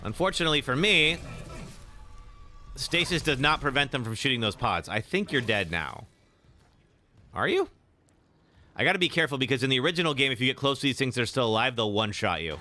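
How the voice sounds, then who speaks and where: Man streaming gameplay, slightly raspy, lively pitch, bright voice, chatty fillers. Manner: chatty, reacts with sudden loud exclamations; filler-heavy, answers chat. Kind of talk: live gameplay commentary.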